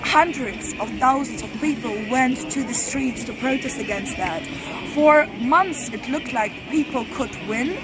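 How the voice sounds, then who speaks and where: A woman's voice: sits high, unhurried, poor audio. A young woman from Germany, a student protester, explaining fluently and articulately in an outdoor street interview. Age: young adult. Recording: loud music outdoors.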